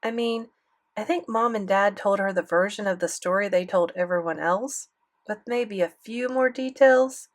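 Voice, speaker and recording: A woman's voice: mid-range voice, normal speed, close to an everyday voice. A woman voicing a defensive schoolboy who is eager for approval, telling his story in a colloquial, confiding way as audiobook narration. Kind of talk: first-person audiobook narration.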